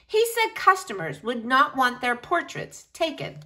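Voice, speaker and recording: Lady doing a kids read-aloud, bright voice kinda high, really expressive storyteller. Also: measured pace, a little hiss or hum. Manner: animated, addresses young listeners directly. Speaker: storyteller reading to children. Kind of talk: expressive picture-book read-aloud for children.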